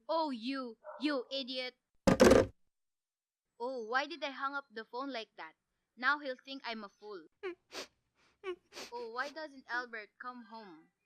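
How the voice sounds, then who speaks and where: A teenage girl plays a fretful bedridden woman in a radio drama, with a high, bright and very clean voice. She is demanding and insistent, convinced something terrible is afoot, and stumbles over words when challenged. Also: slow pace, long pauses, smooth, exaggerated voice. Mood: exasperated, distressed. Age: teens, ninth-grade student. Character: anxious, demanding, bedridden wife fearing a murder. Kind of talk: radio play acting.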